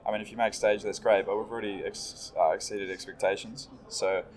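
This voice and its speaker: A young New Zealand man talks fast and easily in a casual interview, his accent plain. His voice sits low and stays flat, with little rise or fall. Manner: laid-back, quick, agreeable. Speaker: esports player.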